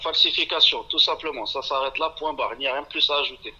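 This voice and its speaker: A man's voice: medium pitch, quick pace, pushed and bright, breathy edge. A man on the phone as an interview guest, blunt and categorical, cutting in over the host. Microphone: telephone. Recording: muffled phone line.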